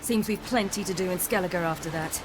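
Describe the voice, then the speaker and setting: A woman's voice: lowish voice, brisk, music in the background, lightly acted, poor audio. A woman playing an earnest, spirited young adventurer in a video game cutscene.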